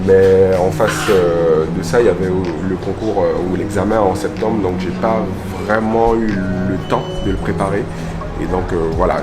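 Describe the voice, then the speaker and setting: A man in his early thirties with a deep voice gives a personal account as an interview guest. He speaks reflectively, and music plays loudly behind him. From French Guiana. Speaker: interview guest, law professional.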